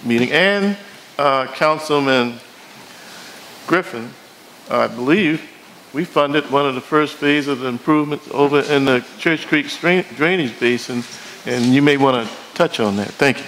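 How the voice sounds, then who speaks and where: A man delivers a committee report at a city council meeting in a halting, improvised way. His voice sits at a middling pitch. There is clear room noise behind him. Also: a bit bright, rough recording.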